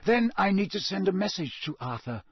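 A man reading aloud and voicing a wise, elderly headmaster with a husky, measured, formal delivery. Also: high voice, very animated, dry recording, clean studio sound, pitched above the performer's own voice, full character voice. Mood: commanding. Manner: measured, commanding, gently formal. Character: old wise male headmaster, calm authority.

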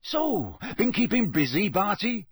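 A man's voice: fairly high pitch, sing-song, unhurried, slightly rough, dry recording, clean studio sound, full character voice. A careless, jovial wizard of middle age from a storybook, put on by a male reader. He talks in a breezy, chummy way, full of colloquial turns.

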